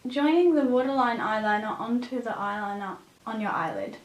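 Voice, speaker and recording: A woman with a raspy voice, a bit sick with a cough, presenting a makeup tutorial as a beauty vlogger. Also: fairly high pitch, lively intonation, normal speed. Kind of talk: scripted makeup tutorial narration. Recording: roomy echo, distant microphone.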